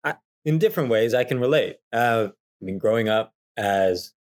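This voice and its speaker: A man's voice: medium pitch, lively intonation, moderate pace. A man in his thirties, a podcast guest, speaking in an earnest, thoughtful way with some hesitations. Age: 30s. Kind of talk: casual conversation.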